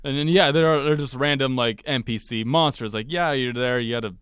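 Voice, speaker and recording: Mid-pitched man agreeing on a podcast. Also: brisk, fairly bright tone, smooth, dry recording, studio quality. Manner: agreeable, lots of short backchannels. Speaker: podcast co-host. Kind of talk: casual live podcast chat.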